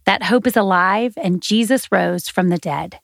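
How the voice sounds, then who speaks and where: A woman hosts a faith podcast, her voice gravelly with a little vocal fry. She reads her script earnestly and steadily, close and dry with no room sound. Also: mid-range voice, measured pace, no echo. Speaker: podcast host.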